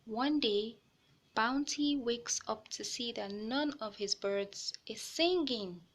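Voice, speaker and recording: A woman hosting a children's read-aloud, narrating a storybook with deliberate phrasing for young listeners. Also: a little high.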